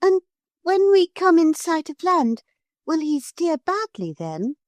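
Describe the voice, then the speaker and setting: A woman's voice: extremely high pitch, big pitch swings, slow, no echo, voice pushed up, full character voice. A woman voicing a curious young girl who asks wondering questions.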